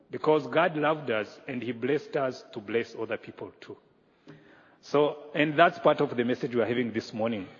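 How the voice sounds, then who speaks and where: A man from Rwanda preaching as a guest pastor, earnest and measured with pauses, in a church sanctuary. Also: mid-range voice, husky. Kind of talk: sermon. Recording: echoey hall, dull lo-fi sound.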